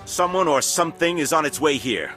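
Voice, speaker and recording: A man's voice: a little high, lively intonation, fairly quick, over loud music, close to an everyday voice. A man playing a dutiful, alarmed military officer in a video game, reporting in an urgent, clipped way. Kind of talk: video game dramatic acting.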